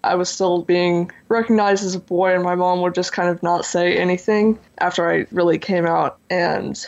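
A teenage boy who still has a high voice, talking earnestly as an interview guest and using lots of "you know" and "like". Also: steady tone, moderate pace, vocal fry, highs cut off. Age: teens. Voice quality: high voice for a man. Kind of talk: candid interview talk.

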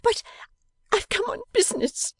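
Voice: moderate pace, no room sound, close to an everyday voice. A reader voicing a silly, tearful young lady who gushes breathlessly while sobbing. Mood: tearful gushing.

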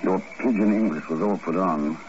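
Man playing calm secret agent hero, lowish voice, dry n clipped, muffled noisy old radio. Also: moderate pace, lightly acted. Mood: cool, composed. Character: cool-headed, authoritative adult male secret agent hero. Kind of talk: 1950s radio drama acting.

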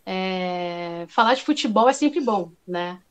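A woman's voice: medium pitch, expressive, takes it slowly, smooth. A woman with a Brazilian accent speaking casually and animatedly as a podcast guest, in an informal manner. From Brazil, moved from Paraguay as a toddler. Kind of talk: casual chat.

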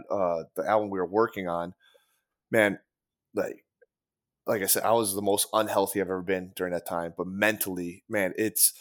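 A man's voice: low-pitched, a bit bright. A man talking casually on a podcast, rambling with many fillers, restarts and casual swearing. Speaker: podcast guest, former band guitarist. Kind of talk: casual conversation.

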